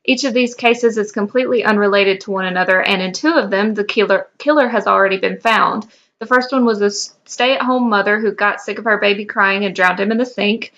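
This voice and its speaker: A woman reading the part of an earnest, assured male federal investigator in fan fiction, matter-of-fact and brisk, on a slightly dull lo-fi mic. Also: mid-range voice, fairly bright tone, close to an everyday voice.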